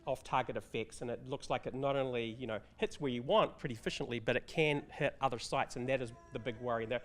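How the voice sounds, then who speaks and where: A man, a researcher on a panel, explaining things in a brisk, matter-of-fact way. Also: medium pitch.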